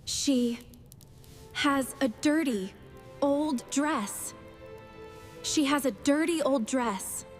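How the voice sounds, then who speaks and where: A woman voicing a gentle female family character in a beginner English fairy tale, enunciating carefully and deliberately. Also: high-pitched, soft background music. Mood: earnest. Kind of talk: slow scripted English lesson story dialogue.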